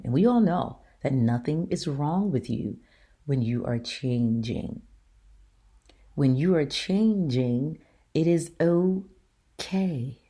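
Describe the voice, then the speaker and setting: Woman, very deep voice, mellow tone, earnest solo host, emphatic and direct. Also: slightly rough. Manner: earnest, emphatic, rhetorical questions to listeners. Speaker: show host. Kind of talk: solo opinion talk.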